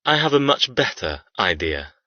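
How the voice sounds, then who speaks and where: Man acting a deep, cold, menacing young man. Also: sing-song, moderate pace, sharp tone, no echo, dull audio, exaggerated voice. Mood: irritated, cold. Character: cold, cruel, menacing young man narrating dark romance. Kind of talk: dramatic first-person audiobook narration.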